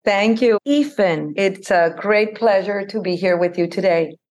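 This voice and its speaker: A woman from Colombia, an investment firm chief executive, answering interview questions in a measured, deliberate way. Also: medium pitch, a little mellow. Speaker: investment firm CEO, guest.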